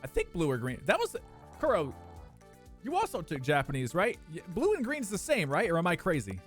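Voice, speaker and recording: A young man, chatting casually with his live-stream viewers, animated and prone to squeaky bursts of laughter. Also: brisk, faint music behind.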